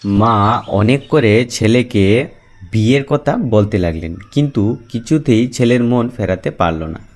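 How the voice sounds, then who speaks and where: A man's voice: fairly low pitch, expressive, a little slow, darkish tone. A man reading scripted narration as the storyteller of a folk tale. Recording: music behind the narration.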